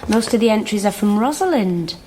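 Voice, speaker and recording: An actress playing a defensive, independent sister, talking in dry, blunt, clipped lines in naturalistic radio drama dialogue. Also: female voice, mid-range voice, lively intonation, brisk, mellow, a little hiss or hum, natural voice. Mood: dry, curious. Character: defensive, independent pregnant woman, sharp with her brother.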